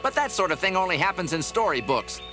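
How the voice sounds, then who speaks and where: A woman's voice: lowish voice, big pitch swings, fast talker. A woman voicing a grumpy, proud little hedgehog father in a cartoon, with an exasperated, fretful way of talking. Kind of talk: animated cartoon voice acting. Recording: music behind the voice.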